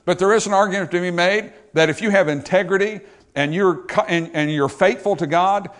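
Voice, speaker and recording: A man preaching a sermon, swinging from loud emphatic peaks to quiet low asides. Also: fairly quick.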